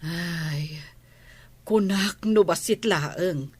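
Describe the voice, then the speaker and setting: A woman acting a concerned older female relative who advises gently in an Ilocano radio drama. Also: fairly low pitch, very slow, husky, no room sound, exaggerated voice.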